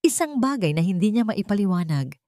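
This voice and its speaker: A woman's voice: medium pitch, big pitch swings, a little fast, warm tone, dry recording, clean studio sound. A woman reading a scripted dramatic love story as its narrator.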